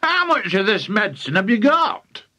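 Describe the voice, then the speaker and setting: A man performs a small, excitable father from a storybook. He exclaims in raised, forceful bursts, fussy and easily worked up, with wildly swinging pitch. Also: moderate pace, full character voice. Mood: urgent.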